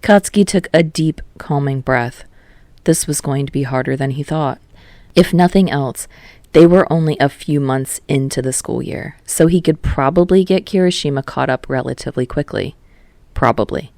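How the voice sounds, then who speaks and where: A woman's voice: sits low, normal speed, mellow, dry recording. A woman reading scripted fiction as an audiobook narrator.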